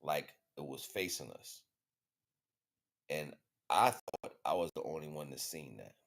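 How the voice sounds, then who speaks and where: Very deep-voiced American man talking casually. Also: animated, a little slow, creaky. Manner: slangy, profane, conversational. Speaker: livestream host. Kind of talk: casual spiritual monologue.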